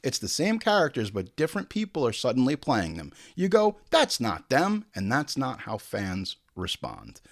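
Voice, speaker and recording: A man's voice: mid-range voice, huge pitch swings, normal speed, few pauses. A man hosting a podcast, giving opinionated, casual film commentary in an exclamatory, profane manner. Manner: profane, exclamatory, acts out imagined dialogue. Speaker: podcast host.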